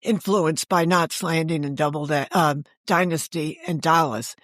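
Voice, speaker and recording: A woman's voice: low voice, fairly even intonation, measured pace, a bit bright, no echo, clean studio sound. An older woman talking as an interview guest, telling stories conversationally and correcting herself as she explains. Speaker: guest, author and editor.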